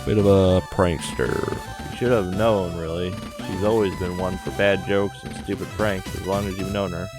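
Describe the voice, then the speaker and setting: A man's voice: deep voice, fairly even intonation, moderate pace, few pauses. A man hosting a gaming video, narrating a visual novel in a loose, offhand, casual way. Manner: loose, offhand paraphrasing of on-screen story. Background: video game music.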